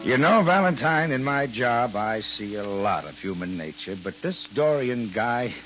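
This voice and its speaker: An actor playing a gruff, impatient male police lieutenant in a 1940s-style radio drama, with a blunt, no-nonsense manner. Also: medium pitch, animated, unhurried, natural voice. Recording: dull lo-fi old radio broadcast with faint hiss.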